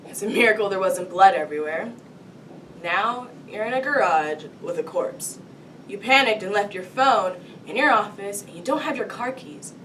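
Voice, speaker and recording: A woman's voice: lively intonation, normal speed, a bit bright, close to an everyday voice. A woman performing a cocky police detective who lays out a crime to a suspect in a comic TV monologue, taunting and dramatic. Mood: taunting, insistent, then building, triumphant. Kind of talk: comedy TV monologue performed solo. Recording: distant mic, rumbling background noise.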